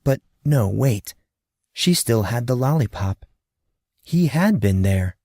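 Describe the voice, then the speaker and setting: A man reads fantasy fiction as a dramatic narrator. His mid-pitched voice moves in lively swings, with suspenseful short phrases and a close, dry sound. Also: slow pace, dry recording.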